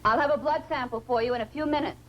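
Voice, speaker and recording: A woman's voice: sits high, fast talker, a bit bright, muffled recording, slight background noise. A woman playing an earnest medical assistant to a doctor in a 1950s science fiction film. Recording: old film soundtrack with music behind.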